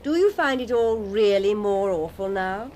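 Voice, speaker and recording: An actress playing a genteel, gently probing woman friend in a radio drama, measured and teasing. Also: mid-range voice, close to an everyday voice. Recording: faint background noise.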